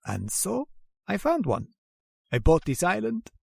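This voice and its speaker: A genteel, sinister general speaks in a gravelly, fairly high voice with very lively swings of pitch. He is suave and courteous, savoring his menace in old-time radio horror style. The sound is close and dry. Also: unhurried, dry recording, exaggerated voice. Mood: boastful, relishing. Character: genteel, sinister aristocratic general who hunts humans.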